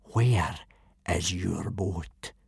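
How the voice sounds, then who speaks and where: Bellowing Viking teacher, drawn-out furious shouts, lively swoops. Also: male voice, no echo, studio quality. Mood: dangerously calm. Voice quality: booming, roaring.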